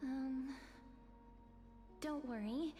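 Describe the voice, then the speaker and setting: An actress playing a gentle, awkward young woman in a fantasy game, hesitant and apologetic. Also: high voice, drawn-out words. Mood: nervous, apologetic. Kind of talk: fantasy video game acting. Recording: long reverb, music behind.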